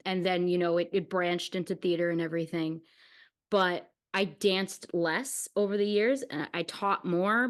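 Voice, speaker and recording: A woman's voice: on the low side, fairly bright tone. A woman hosting a podcast interview in a casual, conversational way. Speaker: podcast host, dancer and writer.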